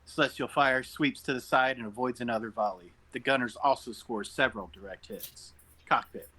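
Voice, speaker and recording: A man's voice: mid-range voice, fairly quick, pushed and bright. A man reading stage directions as narrator at an amateur table read over an online call. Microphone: group online call.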